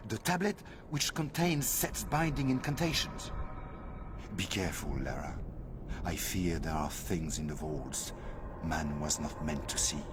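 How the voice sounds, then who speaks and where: A man playing an earnest, anxious scholar ally in a game, speaking gravely and urgently. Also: very deep voice, expressive, unhurried. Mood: urgent, foreboding. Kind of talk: dramatic game cutscene acting. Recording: clear ambient noise behind the voice.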